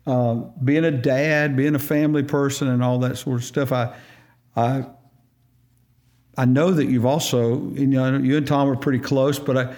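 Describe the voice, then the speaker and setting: A man hosting a church podcast, chatting in a relaxed, folksy way and affirming his guest often. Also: mid-range voice, moderate pace. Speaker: podcast host. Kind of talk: casual church podcast interview.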